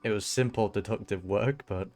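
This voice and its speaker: A woman's voice: very deep voice, moderate pace, darkish tone. A woman giving casual, teasing commentary over a game playthrough, with game music behind her. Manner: wry, teasing, informal. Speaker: gaming video creator.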